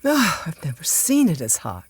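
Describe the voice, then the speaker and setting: A woman acting a cold, commanding plotter in a radio drama, deliberate and theatrical. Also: low voice, huge pitch swings, slightly rough, no echo, heavily acted. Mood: tense. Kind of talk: dramatic first-person radio drama narration.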